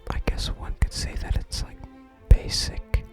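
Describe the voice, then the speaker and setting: A person whispering soft, unhurried ASMR commentary while playing a video game and reading out its text. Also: faint music behind.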